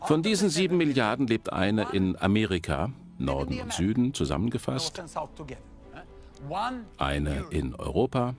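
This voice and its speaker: A man giving an explanatory, emphatic documentary lecture. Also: on the low side. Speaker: presenter lecturing with statistics. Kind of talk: documentary lecture voice-over in German dub.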